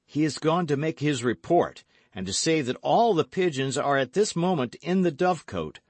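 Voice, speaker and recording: A man voicing an eager, brash young gentleman in an audiobook, speaking with emphatic, commanding and affectionate delivery. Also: on the high side, lively intonation, moderate pace, dry recording, voice pushed up. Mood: excited, brisk. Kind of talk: audiobook character acting.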